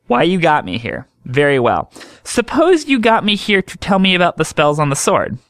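Man voicing earnest young man, thoughtful, lively rise and fall, dry close sound. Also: fairly high pitch, moderate pace, no echo, pitched above the performer's own voice. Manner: thoughtful, reasoning. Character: earnest young man explaining his strange dreams.

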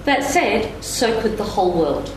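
A woman's voice: medium pitch, very animated, measured pace. A woman giving her view conversationally as a panellist in a live discussion. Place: live festival panel in echoey room. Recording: echoey room, faint noise.

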